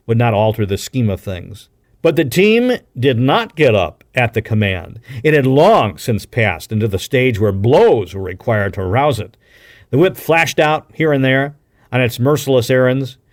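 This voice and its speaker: A man reading an adventure novel aloud with a deliberate, dramatic storytelling delivery, like a practiced broadcaster. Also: medium pitch. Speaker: audiobook reader, radio broadcaster.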